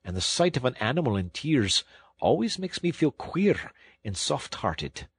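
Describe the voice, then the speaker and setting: A man voicing a stern but kindly train engine driver who speaks in a firm, plain way, in a husky voice. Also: medium pitch, unhurried, dry recording, full character voice. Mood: stern then kind. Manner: steady, firm and plain-spoken. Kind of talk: audiobook storytelling.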